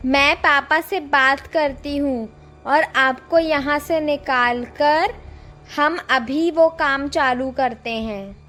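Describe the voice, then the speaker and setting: A woman voicing a polite, earnest, helpful young girl in an animated horror story. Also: high-pitched, slow pace. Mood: eager, polite.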